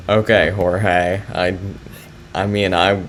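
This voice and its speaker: Slow, low-voiced male chess streamer. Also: slight background noise, poor audio. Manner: hesitant, soft-spoken, many fillers. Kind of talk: casual live-stream chat.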